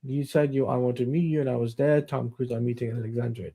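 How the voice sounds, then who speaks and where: A man's voice: medium pitch, fairly even intonation, fast, creaky, warm tone. A man hosting a casual live stream, reading out news items in a rambling way while losing his voice. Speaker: stream host.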